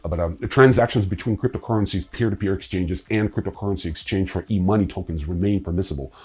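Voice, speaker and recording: A man hosting a crypto news explainer, reading scripted material with informal, conversational asides in a husky voice. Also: low-pitched, fast talker, a little mellow. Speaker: host of a crypto news video.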